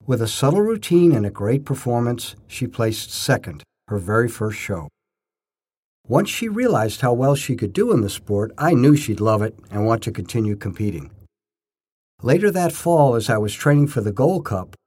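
Husky man reading memoir with lively pitch. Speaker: narrator telling his own memoir stories. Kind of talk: scripted first-person story narration.

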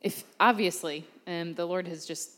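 A woman with a notably low voice introduces the next speaker and leads a short prayer, scattering filler ums through her words. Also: normal speed, sharp tone. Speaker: church leader hosting testimonies.

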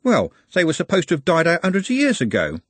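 A plain-spoken young working-class man in a storybook, played by a male reader, cheeky yet earnest. His talk is casual and higher pitched, slightly rough, with a close dry sound. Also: wildly swooping pitch, quick pace, no room sound, heavily acted.

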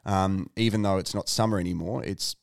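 Middle-aged Australian man, booming low voice, animated storyteller, dry close mic. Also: brisk, creaky, no echo. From Australia (Tasmania). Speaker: guest, sports announcer. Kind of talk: casual podcast chat. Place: podcast studio.

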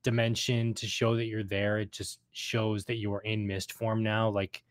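A man's voice: fairly low pitch, level tone, moderate pace, no room sound. A man co-hosting a casual gaming podcast, talking enthusiastically in a rambling way and repeating phrases for emphasis. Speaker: podcast co-host.

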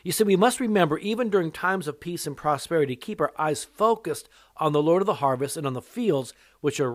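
A man's voice: no echo, studio quality. An older man, born in Japan and raised in America, preaching an earnest sermon as an evangelist, his voice rising sharply when he stresses a point. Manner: earnest preaching that rises in pitch on emphasis. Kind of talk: sermon teaching with personal testimony.

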